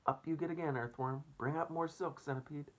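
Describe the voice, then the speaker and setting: A man's voice: on the high side, fairly even intonation, brisk, pitched above the performer's own voice, close to an everyday voice, rough recording. A man playing a brave young boy in a storybook, giving urgent orders. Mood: shouting orders.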